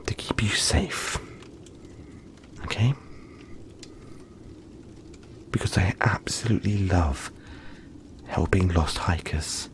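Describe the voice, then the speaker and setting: A man voicing a gentle, cuddly young yeti in a soft-spoken, hesitant, soothing roleplay addressed to the listener. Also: on the low side, slight background noise, close to an everyday voice. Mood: tender, sleepy.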